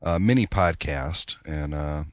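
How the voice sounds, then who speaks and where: A podcast host with a worn, strained voice chats casually, with fillers and restarts, into a lower-grade microphone. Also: male voice, measured pace, no room sound, clean studio sound. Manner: relaxed, chatty, with fillers and restarts. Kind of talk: casual tech podcast chat. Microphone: lower-grade home mic.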